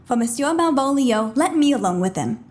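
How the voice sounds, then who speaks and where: A woman playing a sharp-witted, mischievous serving woman who schemes, in a theatrical Shakespearean audio play. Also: sits high, expressive, moderate pace. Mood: gleeful scheming.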